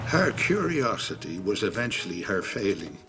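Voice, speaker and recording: An actor playing a wry, brave priest in a video game, with an Irish accent and a dry, folksy, unflappable manner. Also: male voice, lowish voice, very animated, normal speed. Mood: wry, calm. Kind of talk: video game cutscene acting. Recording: noisy, with rain behind.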